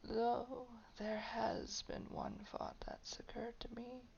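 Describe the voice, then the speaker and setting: A woman voicing a haughty, menacing old vampire in a roleplay audio drama, breathy and gravelly, drawing out words with soft condescension. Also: a little high, level tone, heavily acted. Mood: haughty, musing. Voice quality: breathy whisper with gravelly creak. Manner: slow, drawn-out, condescending, softly threatening. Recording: dull, lo-fi home microphone.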